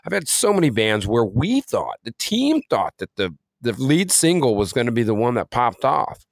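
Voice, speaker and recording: A man's voice: mid-range voice, very animated, normal speed, gravelly, dry recording. A man co-hosting a podcast in a casual, conversational way, often dropping in "you know" as he talks. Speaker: podcast co-host, music career coach.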